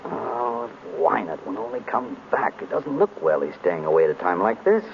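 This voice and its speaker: Polite concerned man, animated delivery, 1930s radio drama, faintly noisy recording. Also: fairly low pitch, normal speed, gravelly, highs cut off. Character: earnest, worried gentleman seeking a detective's help.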